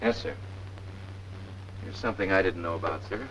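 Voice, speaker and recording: Eager young US lieutenant pilot: lowish voice, earnest, deferential, 1940s training film, faint noise. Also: male voice, moderate pace, fairly bright tone. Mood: attentive. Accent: American. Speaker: junior pilot answering a superior. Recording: noisy 1940s film soundtrack.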